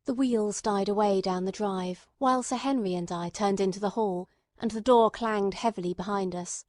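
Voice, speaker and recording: A woman's voice: mid-range voice, moderate pace, darkish tone, dry recording, clean studio sound. A woman reading scripted literary narration as an audiobook narrator.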